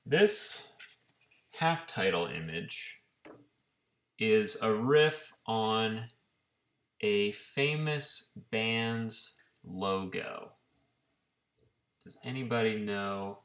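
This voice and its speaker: A man's voice: on the low side, fairly bright tone. A man in his thirties chatting casually to a laptop webcam from a living room, talking as an author. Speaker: children's author and illustrator. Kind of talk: casual chat to camera. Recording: echoey room.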